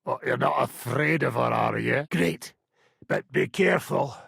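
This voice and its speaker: An actor playing a foul-mouthed, swaggering mercenary gang leader in a video game, brash and sardonic, with a raspy, gravelly voice. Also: male voice, medium pitch, a little slow, a bit bright, no room sound, studio quality, heavily acted. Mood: gruff banter. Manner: brash, sardonic, commanding, swearing freely. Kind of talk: game dialogue, coarse medieval banter and battle shouts.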